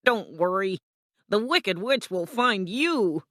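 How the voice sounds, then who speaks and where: A woman's voice: medium pitch, expressive, slow, a bit bright, dry recording, clean studio sound, voice pushed up. A woman voicing a male guardian of a city gate in a storybook, amused and matter-of-fact in his ominous warnings.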